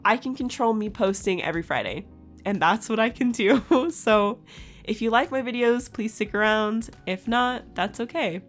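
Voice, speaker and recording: Young woman with a raspy morning voice, chatty art vlog, soft music playing behind. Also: on the high side, moderate pace. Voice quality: raspy, scratchy. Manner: chatty, laughs often. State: just woke up. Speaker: art channel host. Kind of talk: casual vlog voice-over.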